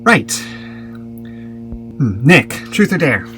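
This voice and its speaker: A woman's voice: extremely low pitch, sing-song, slow, faint music behind, exaggerated voice. A woman playing a cool, guarded young woman in an audio drama, terse and deflecting. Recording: faint hum and noise.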